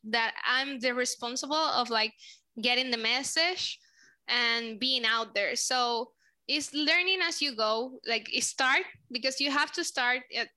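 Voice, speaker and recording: Young puerto rican woman, spanish accent, bright highish voice, lots of um and like. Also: measured pace. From Puerto Rico. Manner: searching for words, frequent fillers. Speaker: social media manager, guest. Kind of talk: casual interview answers.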